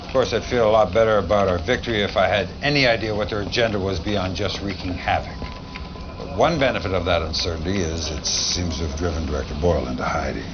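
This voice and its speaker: A man's voice: deep voice, fast, close to an everyday voice. An actor playing a blunt, weary general briefing his commander in a video game cutscene. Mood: determined. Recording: dull lo-fi audio, clear background noise.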